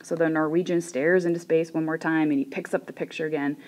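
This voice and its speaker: Low-voiced woman telling a joke. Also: fairly even intonation, quick pace, a little mellow. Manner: chatty, self-deprecating, drops to a whisper while drawing. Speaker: joke teller. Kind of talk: casual storytelling of a joke.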